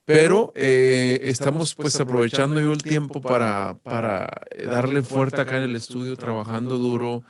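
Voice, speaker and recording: A middle-aged man with a Guatemalan accent, speaking calmly and earnestly as an interview guest from his home studio. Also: mid-range voice, moderate pace, hardly any pauses, dry recording. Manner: calm, earnest, devout. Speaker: guest, music producer. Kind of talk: casual interview chat.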